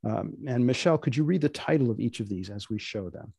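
An older man hosting an informal event over a video call, introducing things in a deliberate, dry manner. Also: mid-range voice, lively intonation, vocal fry, a little mellow, breathy edge, no room sound. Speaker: host introducing readers. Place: indoor room on video call.

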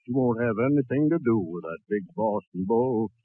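A man playing a folksy dog doctor, telling corny jokes deadpan in a 1940s radio comedy. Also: medium pitch, moderate pace, a crowd in the background, lightly acted. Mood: joking. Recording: tinny, narrow 1940s radio recording.

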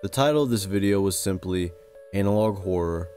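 A man reading scripted narration for a video essay in a measured, serious way. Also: low voice, over music. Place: close-recorded voice-over with music behind.

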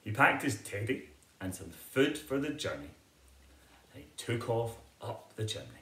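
A middle-aged man reading a picture book aloud to children in an expressive, deliberate storytelling manner. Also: medium pitch, reverberant. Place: living room at home, indoors.

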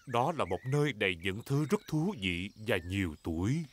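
A man voicing a cheerful, patient father pig in a children's cartoon dub, explaining things gently and expressively. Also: fairly low pitch, sing-song, normal speed, a little hiss or hum. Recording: music bed behind voice.

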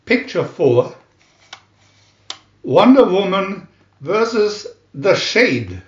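A man reads aloud in a high voice with swooping, dramatic intonation. He announces each phrase deliberately, like a storybook narrator for children. The recording is dull and lo-fi. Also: big pitch swings. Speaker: booklet reader and channel host. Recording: roomy echo, dull lo-fi sound.

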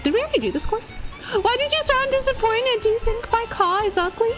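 A woman's voice: very high-pitched, expressive, fairly quick. An American woman from Florida chatting casually on a game live stream, playful and self-deprecating. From Florida, United States. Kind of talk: casual live-stream chat while gaming. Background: video game music.

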